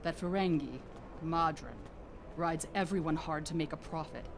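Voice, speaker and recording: An actor voicing a weary, stranded refugee biochemist in a game, matter-of-fact in tone. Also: male voice, on the high side, moderate pace, vocal fry, background noise. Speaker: game character. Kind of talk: voiced game dialogue.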